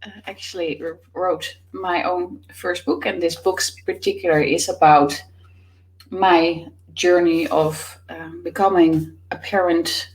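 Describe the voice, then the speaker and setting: A woman with a Dutch accent and a somewhat low voice talks through her answers in a casual interview. She is in her thirties and speaks thoughtfully, pausing on fillers as she goes. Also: fairly even intonation, poor audio. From Netherlands. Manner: thoughtful, many fillers, laughs often. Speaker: author and business coach, guest.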